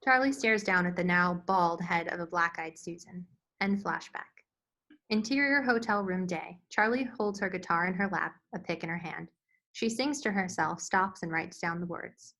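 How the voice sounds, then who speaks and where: A woman's voice: lowish voice, moderate pace, sharp tone, low-quality recording. A woman reading stage directions as scripted narration at a screenplay table read.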